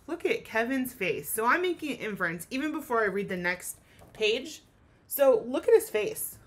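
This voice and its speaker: A woman with a fairly high voice leads a read-aloud lesson for children. She speaks as a teacher, with expression, and puts ideas into simple words for young listeners. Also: sing-song, moderate pace.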